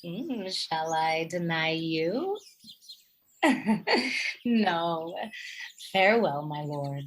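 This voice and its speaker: A woman playing an earnest, affectionate, persistent noble wife in a Shakespearean radio play, expressive and pleading. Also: on the low side, drawn-out words, a little hiss or hum. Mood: playful, dutiful.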